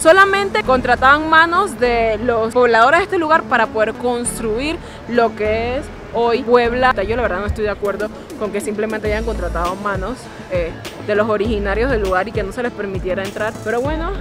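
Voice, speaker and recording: A woman's voice: a little high, a little fast, few pauses. A young woman, chatting animatedly to a handheld camera on a city square. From Panama. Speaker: travel vlogger. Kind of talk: casual travel vlog. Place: busy city square and streets outdoors. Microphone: handheld camera at arm's length. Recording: outdoor city crowd with background music. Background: music and crowd noise.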